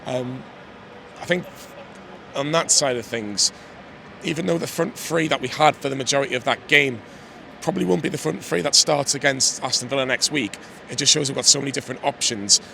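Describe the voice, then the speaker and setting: A hoarse man hosting a casual football fan chat outdoors, upbeat and asking questions. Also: medium pitch, fairly quick, sharp tone. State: slightly hoarse. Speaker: fan channel host. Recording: outdoor street noise.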